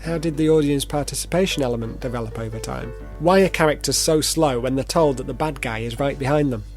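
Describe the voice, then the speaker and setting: A man's voice: mid-range voice, fast talker, loud music behind the voice. A man narrating a video essay in a playful, theatrical manner, with informal asides. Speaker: presenter.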